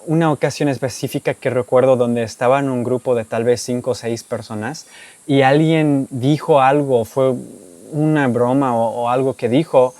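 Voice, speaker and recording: A young American man from Texas, a native English speaker, speaking Spanish with an American accent. He answers viewer questions in a relaxed storytelling way, with restarts and soft laughs. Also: mid-range voice, dry recording. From United States (Texas). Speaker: language-learning YouTuber. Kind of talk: casual Q&A monologue to camera.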